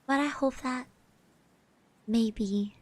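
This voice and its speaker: A woman with a fairly high, soft voice plays a sweet, shy classmate in an ASMR roleplay. She speaks very slowly and gently, hesitating and often sinking into a breathy whisper. The sound is close and dry. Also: no echo. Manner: hesitant, gently reassuring, speaks of herself in third person. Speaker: ASMR roleplay performer, streamer. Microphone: close microphone.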